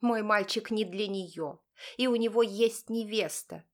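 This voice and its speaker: A woman's voice: mid-range voice, a little slow, close to an everyday voice. Imperious mother played by a female narrator, contemptuous, cutting delivery, clenched-teeth disdain, audiobook melodrama. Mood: scornful, demanding. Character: haughty, contemptuous wealthy mother guarding her son.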